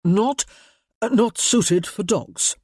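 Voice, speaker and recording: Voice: mid-range voice, slow, a little mellow, slightly rough, no room sound, studio quality, pitched above the performer's own voice. Man voicing a composed polite lord. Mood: calm, measured. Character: courteous gentleman lord, composed.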